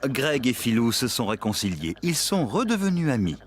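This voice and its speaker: A man's voice: mid-range voice, sing-song, moderate pace, soft background music, natural voice. A man reading scripted story narration for a children's cartoon.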